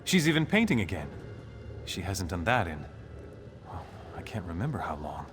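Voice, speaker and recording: A man's voice: low voice, very animated, normal speed, noisy background. A man playing a hopeful nobleman and doting husband in a video game, earnest and theatrical as he clings to good cheer. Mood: hopeful, wistful. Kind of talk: dramatic game dialogue.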